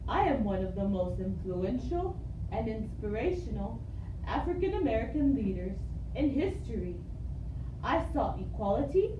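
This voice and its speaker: A woman voicing a dignified male civil rights leader with formal, recited delivery in an amateur educational puppet show. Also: fairly high pitch. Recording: echoey, distant, muffled, noisy room recording.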